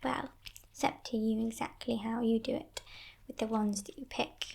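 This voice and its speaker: A woman voicing a playful, menacing female demon in a breathy, teasing whisper for an ASMR roleplay. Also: fairly high pitch, steady tone. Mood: coaxing, menacing. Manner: teasing, condescending, softly sinister, unhurried.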